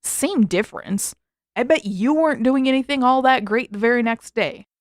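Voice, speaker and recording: A brash, dramatic teenage boy from a fantasy storybook, voiced by a woman. He is excitable and defensive, protesting loudly with big swings in pitch. The sound is dry and close. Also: normal speed, no room sound, natural voice. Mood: indignant.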